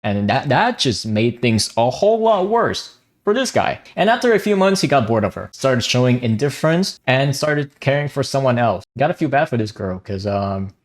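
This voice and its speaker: A man's voice: medium pitch, very animated, moderate pace. A young man from Vietnam, a native Vietnamese speaker, giving blunt, casual commentary on online drama, swearing freely and often restarting his sentences. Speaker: gaming commentary YouTuber.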